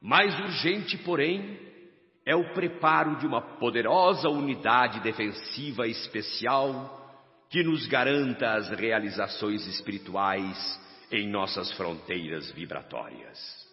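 An actor with a Brazilian accent playing a solemn governor who makes a grave, impassioned speech with dramatic pauses in a radio drama. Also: male voice, medium pitch, lively intonation. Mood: urgent, grave. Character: solemn male governor of a spirit city rallying his people. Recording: dull, echoey hall reverb over music.

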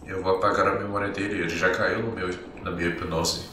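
Guy doing a smooth condescending vampire for a tabletop game, low voice, super echoey. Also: flat delivery, brisk, pushed and bright, slight background noise. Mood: matter-of-fact. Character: suave, calculating male vampire who hypnotizes victims. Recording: echoey, distant, music behind.

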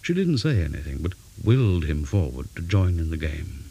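Deep, mellow man reading a ghost story. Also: very animated, breathy edge. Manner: measured, dramatic storytelling with pauses. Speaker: story reader. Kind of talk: scripted narration of a ghost story. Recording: faint background hiss.

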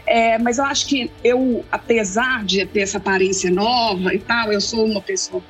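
A woman's voice: mid-range voice, normal speed, a bit bright, soft background music, poor audio. A woman in her forties from Belo Horizonte with a Minas Gerais accent, answering interview questions in a thoughtful, storytelling way. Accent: Minas Gerais Portuguese. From Belo Horizonte, Brazil. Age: 40s. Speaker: guest theologian.

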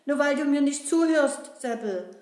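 A woman voicing a cheeky, lively puppet boy who chats and teases, talking to the audience. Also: sits high, normal speed. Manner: chatty, teasing, addresses the audience. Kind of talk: puppet-show acting. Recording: roomy echo.

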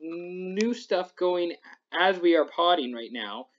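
A man's voice: sits high, expressive, slow, lo-fi sound. A young man hosting a podcast, chatting casually about sports in a rambling, self-interrupting way. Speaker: podcast host.

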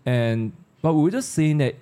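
A man's voice: on the high side, animated, normal speed, dark tone, clear, resonant tone. A man with a Malaysian accent hosting a casual panel discussion, conversational and putting questions to his co-panelists. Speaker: discussion host.

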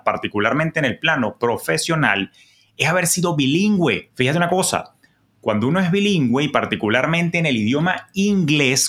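A man with a Venezuelan accent hosting a personal finance podcast, talking in a lively, colloquial, playful way. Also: medium pitch. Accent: Venezuelan Spanish. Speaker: podcast host.

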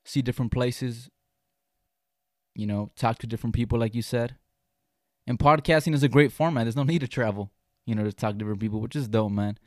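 A young man who grew up speaking Spanish hosts a relaxed podcast, making his points with earnest emphasis. He often restarts his sentences. His voice sits in a middle pitch and sounds dry, with no room echo. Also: fairly quick, long pauses. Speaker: podcast host. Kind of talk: casual podcast conversation.

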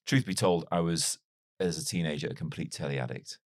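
A man's voice: very deep voice, a little fast, no room sound, studio quality. A man in his forties who grew up in North Wales, with a mild British accent that is not strongly Welsh, telling his life story in a casual interview. He talks in a reflective, wry, self-deprecating way. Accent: mild British English, not strongly Welsh. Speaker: podcast guest.

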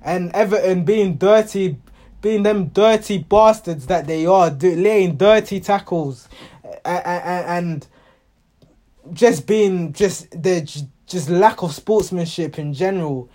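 A man with a hoarse voice recapping a football match as a passionate, exasperated fan, often repeating himself. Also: high voice, slow pace, mellow.

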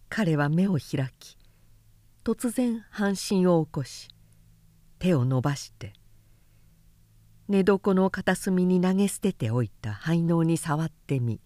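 A woman narrating a literary novel in audiobook style, with measured, deliberate phrasing and pauses between phrases. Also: fairly low pitch, dark tone, no echo. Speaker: narrator reading a novel aloud.

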